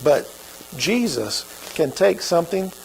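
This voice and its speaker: A man's voice: on the high side, big pitch swings, takes it slowly, vocal fry, darkish tone, slight background noise, rough recording. A man with an Oklahoma accent preaching a sermon as pastor, in a folksy, conversational way.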